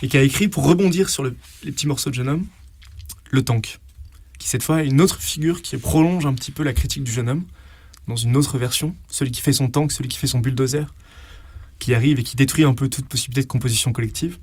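A man's voice: medium pitch, fast, a little mellow, husky, no echo. A man speaking conversationally as an interviewed publisher, explaining things in an articulate way.

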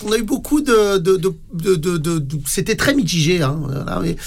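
A man being interviewed on the radio about his theatre company, talking haltingly with many fillers and repeated words. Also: high voice, very animated, creaky. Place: radio studio.

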